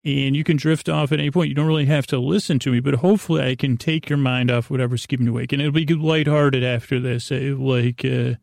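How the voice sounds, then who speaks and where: A man hosting a bedtime podcast in a creaky voice, meandering through a goofy, self-deprecating monologue with halting, trailing sentences. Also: medium pitch, steady tone, darkish tone, dry recording, studio quality. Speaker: podcast host.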